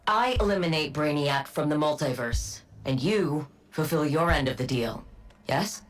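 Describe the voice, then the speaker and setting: A woman's voice: low voice, expressive, normal speed. A woman playing a cold, logical scientist in a video game, speaking in a formal, clipped and precise way. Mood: determined. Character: cold, logical woman scientist devoted to her frozen wife. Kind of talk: video game cutscene acting.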